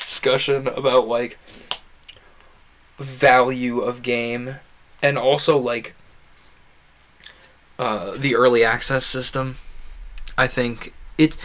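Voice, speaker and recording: Bright-voiced man rambling on a game stream. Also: medium pitch. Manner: rambling, earnest, opinionated. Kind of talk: casual gaming stream commentary.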